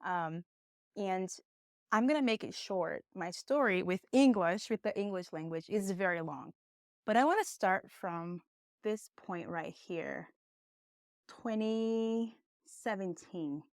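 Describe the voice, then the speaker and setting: A young woman, a Portuguese speaker, giving a conversational online English lesson with encouraging, expressive emphasis. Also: mid-range voice, slow, no room sound, clean studio sound. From Brazil. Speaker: English teacher.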